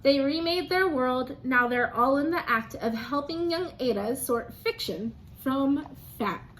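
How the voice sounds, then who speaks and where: High-pitched woman reading to little kids. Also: expressive, fairly bright tone, a little hiss or hum, low-quality recording. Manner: sing-song, talks to young children, pausing to prompt answers. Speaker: teacher reading a picture book aloud to young children. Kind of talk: expressive children's read-aloud with questions to listeners.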